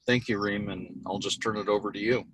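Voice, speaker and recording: A low-voiced man opens a webinar session and introduces its keynote speaker. He talks from a mobile office and often stops on uh and um between phrases. The sound is dry and close, with no room around it. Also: fairly even intonation, fairly bright tone, breathy edge, no echo, low-quality recording. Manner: halting, with many uh and um fillers. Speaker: event host introducing a speaker.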